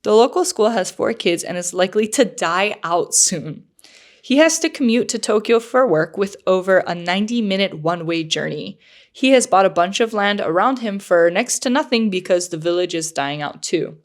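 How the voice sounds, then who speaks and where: A woman's voice: mid-range voice, lively intonation, moderate pace. Chatty, slightly nasal woman podcaster. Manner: chatty, lots of fillers and likes. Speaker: podcast co-host. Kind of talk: casual chat.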